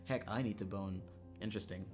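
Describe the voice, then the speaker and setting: A man livestreaming a visual novel, moving between casual, profane, joking chat and reading the game text aloud, quick to laugh. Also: on the low side, fairly even intonation, music in the background. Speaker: streamer playing a visual novel.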